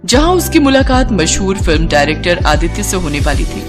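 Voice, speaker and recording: A woman's voice: on the low side, very animated, a little fast, music in the background. A woman reading scripted story narration as the storyteller.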